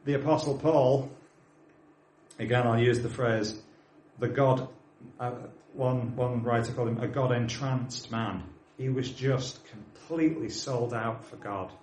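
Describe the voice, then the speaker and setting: Earnest British preacher in an echoey hall. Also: male voice, mid-range voice, steady tone, measured pace, darkish tone, a little hiss or hum. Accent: British English. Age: 40s. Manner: earnest, exhorting, with plain asides to the congregation. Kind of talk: sermon.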